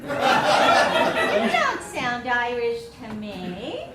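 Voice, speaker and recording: A woman's voice: on the high side, wildly swooping pitch, drawn-out words, over a noisy crowd, heavily acted. A woman improvising a coy, flirtatious woman suspect under police questioning on a live stage. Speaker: improv performer. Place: stage in front of a live audience. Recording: echoey stage, loud audience laughter and noise.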